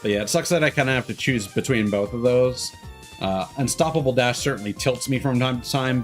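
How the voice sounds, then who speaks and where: Casual male game streamer, music behind. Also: medium pitch. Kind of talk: casual livestream chat while gaming.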